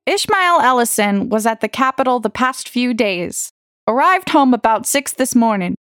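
A woman's voice: on the high side, lively intonation, normal speed, a bit bright, dry recording, clean studio sound. A woman voicing a firm, no-nonsense police officer who speaks curtly in an audio drama. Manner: firm, curt.

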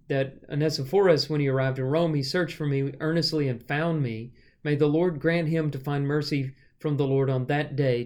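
Measured man explaining scripture. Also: on the high side, a little mellow. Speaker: Bible study podcast host and teacher. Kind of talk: conversational Bible teaching with scripture reading.

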